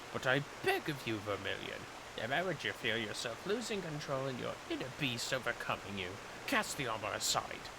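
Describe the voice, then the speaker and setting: A man voicing a solemn, honorable warrior burdened by guilt, speaking gravely in formal, archaic phrases as fantasy game dialogue. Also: mid-range voice, noisy background. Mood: foreboding warning. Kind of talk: dramatic read-aloud of fantasy game dialogue. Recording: prominent music behind the voice.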